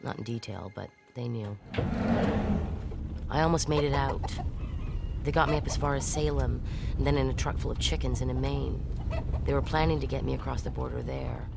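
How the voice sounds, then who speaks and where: A woman's voice: extremely low pitch, moderate pace, vocal fry, loud music behind the voice, heavily acted. An actress playing a defiant woman fugitive who recounts her escape in a wry, conversational, matter-of-fact way in an audio drama. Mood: wry, weary.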